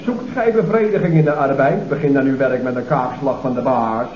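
An actor playing a cheeky, wordy young nephew in a Dutch radio comedy, mock-formal and ironic, with a Netherlands accent. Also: male voice, mid-range voice, fairly quick, darkish tone, close to an everyday voice. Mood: dry mockery. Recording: muffled old radio recording, roomy, audience behind.

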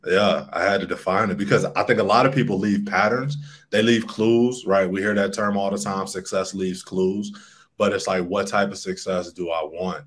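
Male coach guest, deep voice, relaxed reflective tone, video-call sound. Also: moderate pace, husky. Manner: relaxed, reflective, low-key. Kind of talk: casual interview answers. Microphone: webcam video call.

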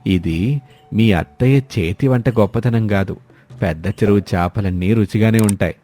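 A man voicing a teasing, good-humoured older village father-in-law in an animated moral story, with music. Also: fairly low pitch, moderate pace, natural voice.

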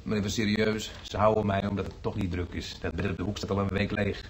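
A man's voice: lowish voice, steady tone, fast talker, slight background noise, natural voice. Actor as cheeky, bantering male patient. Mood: breezy, chatty. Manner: bantering, breezy slang. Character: cheeky, teasing long-haired male hospital patient. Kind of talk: radio play acting.

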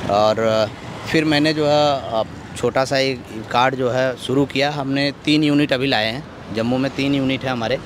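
Middle-aged man, medium pitch, polite halting interview answers, English words mixed in, noisy roadside. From Gorakhpur, Uttar Pradesh, India. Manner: polite, halting, many filler phrases, mixes in English. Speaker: food cart owner being interviewed. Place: outdoors at a roadside. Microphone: interviewer's handheld microphone. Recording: noisy outdoor street with traffic.

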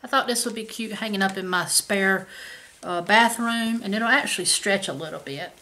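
A woman's voice: medium pitch, normal speed, pushed and bright. A woman, chatting casually about her shopping haul to a camera at home. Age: 50s. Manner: chatty, folksy, says y'all. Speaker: haul vlogger. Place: home kitchen indoors.

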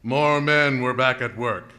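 A man performs a grave radio-drama narrator with a bright, forceful voice at middle pitch. The delivery is measured, solemn and theatrical. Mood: grave, ominous. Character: grave male narrator of an alien invasion tale.

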